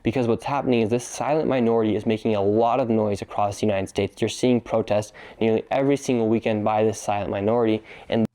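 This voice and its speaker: A man with a low, mellow voice delivers a scripted online video narration. He speaks in a steady, earnest way throughout. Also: fast, few pauses. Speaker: online commentator.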